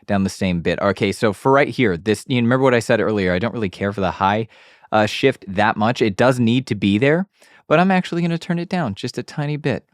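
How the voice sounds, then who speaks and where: A man's voice: fairly low pitch, fairly quick, no echo. A man hosting an audio tutorial in casual, step-by-step talk with frequent check-in tags, close on a studio mic. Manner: informal, frequent check-in tags like okay and all right. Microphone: close studio dynamic mic on an arm.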